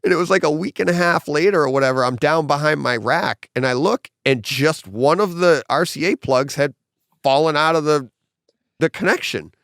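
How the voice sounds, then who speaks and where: Man, middle-aged, home theater podcast host, slightly hoarse, lively storyteller, dry close sound. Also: on the high side, moderate pace, no echo. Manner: animated storyteller, acts out quotes. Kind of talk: casual home theater chat.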